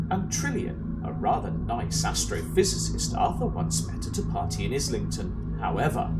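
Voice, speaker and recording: Wry comic sci-fi narrator for amateur audio drama, male actor. Medium pitch, deadpan wit, varied intonation, music underneath. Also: low-quality recording. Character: wry storybook narrator of comic science fiction. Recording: clear music behind narration.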